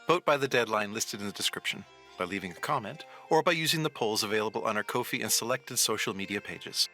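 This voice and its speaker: A man's voice: on the low side, animated, quick pace, pushed and bright, faint music behind. A man narrating a scripted adventure story as its storyteller.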